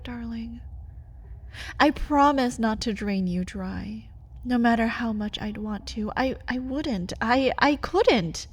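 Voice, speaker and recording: Caged vampire lover , played by a woman: unhurried, husky-breathy, intimate ASMR delivery, pleading with a bitter edge. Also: fairly high pitch, heavily acted. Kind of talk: ASMR roleplay.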